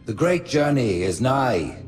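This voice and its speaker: Grandiose, swooping alien high prophet. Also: male voice, big pitch swings. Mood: grave, exultant. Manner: stately oratory, pausing for emphasis. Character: grandiose, silky alien religious leader, calmly manipulative. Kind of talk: video game cutscene acting. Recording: echoing chamber, music behind.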